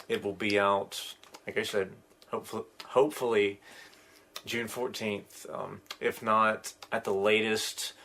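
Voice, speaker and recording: Slow gravelly-voiced man. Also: fairly low pitch, fairly bright tone. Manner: slow, halting, many ums. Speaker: podcast co-host, musician. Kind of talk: casual podcast chat.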